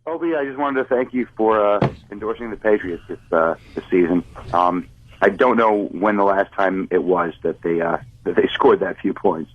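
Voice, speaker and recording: Low-voiced male caller, dull noisy phone line. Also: moderate pace. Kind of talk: casual chat.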